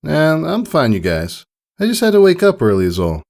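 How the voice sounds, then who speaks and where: A man's voice: on the high side, huge pitch swings, moderate pace, gravelly, darkish tone, very echoey, big-room sound, voice pushed up, exaggerated voice. A man voicing a plucky, sullen young pegasus filly with a casual, offhand manner in a storybook reading.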